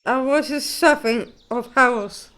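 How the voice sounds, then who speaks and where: A woman playing a guileless, sad young servant girl with a halting, plaintive manner in an audio drama. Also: high-pitched, fairly bright tone, poor audio. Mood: hurt, plaintive.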